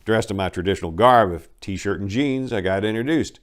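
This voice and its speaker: Low-pitched man telling a story. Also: brisk. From southern United States (Memphis). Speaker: narrator reading a personal memoir aloud. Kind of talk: dramatic reading of first-person narration.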